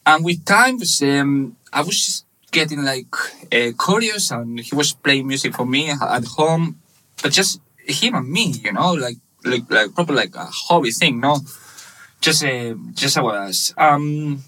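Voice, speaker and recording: A man in his thirties from Spain with a Spanish accent, speaking English as a second language, telling his story haltingly in a casual interview with many fillers. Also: mid-range voice, animated, pushed and bright, rough recording. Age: 30s. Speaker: guest, webshop manager.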